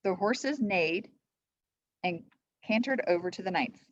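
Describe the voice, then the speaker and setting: A woman's voice: mid-range voice, expressive, slow pace, no room sound. A woman reading a children's storybook aloud as a teacher would, in a slightly muffled recording. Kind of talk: storybook read-aloud narration.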